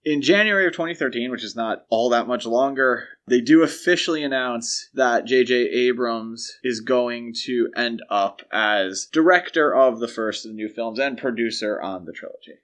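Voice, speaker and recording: A man with a medium-pitched voice co-hosts a casual discussion podcast. He explains things step by step in a conversational way, as if talking a topic through with a partner. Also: normal speed, hardly any pauses, fairly bright tone. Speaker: podcast co-host. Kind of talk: casual film franchise discussion.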